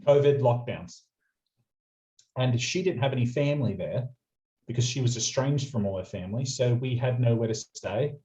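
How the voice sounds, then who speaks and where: Young aussie guy telling a story, warm mellow voice, kinda muffled lo-fi audio. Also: mid-range voice. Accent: Australian. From Australia. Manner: quick anecdotal storyteller, chatty. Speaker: radio presenter, guest. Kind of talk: casual storytelling interview.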